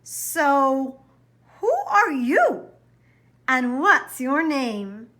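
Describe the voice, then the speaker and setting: A woman voicing a brave, stern young girl in a storybook, speaking firmly with drawn-out emphasis. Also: high-pitched, big pitch swings, a bit bright, full character voice. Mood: demanding. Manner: firm, commanding, drawn-out emphasis.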